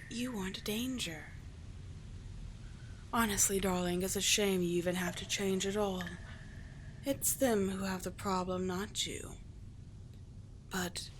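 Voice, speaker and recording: A woman playing a cunning, doting sea witch in an ASMR roleplay, breathy and measured, with coaxing, condescending endearments. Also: medium pitch, close to an everyday voice. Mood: reassuring, persuasive. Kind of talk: ASMR roleplay, scripted monologue. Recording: clear steady background ambience noise.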